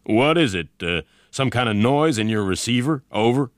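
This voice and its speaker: Army general played by a male narrator: commanding, urgent and anxious, very lively intonation, for a story. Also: medium pitch, normal speed, smooth, no room sound, clean studio sound, voice pushed up, natural voice. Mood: anxious, puzzled. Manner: urgent, calling out.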